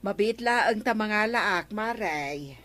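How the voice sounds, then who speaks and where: A woman's voice: medium pitch, lively intonation, unhurried, a bit bright. A woman playing an obliging, questioning village neighbor in a comedy radio drama, with an Ilocano accent.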